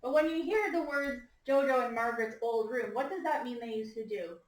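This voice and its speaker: A woman teacher reading a storybook to young children and prompting them with questions in an expressive, instructive way. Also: a little high, a little fast. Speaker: primary school teacher. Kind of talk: storybook read-aloud and classroom discussion with young children. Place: indoor classroom. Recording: echoey classroom, far from mic.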